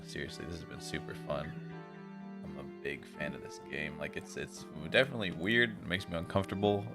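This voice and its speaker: A man's voice: extremely low pitch, gravelly. A laid-back, tired man streaming a visual novel, reading it aloud and adding casual, slangy commentary. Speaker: game streamer.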